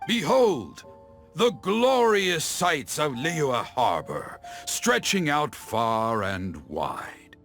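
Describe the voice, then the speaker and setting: An actor playing a theatrical teahouse storyteller in a game, dramatic and flowery, savoring each phrase. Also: male voice, fairly high pitch, big pitch swings, extremely slow, vocal fry, over music, heavily acted. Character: theatrical male teahouse storyteller spinning grand tales. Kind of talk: storytelling in an English game dub.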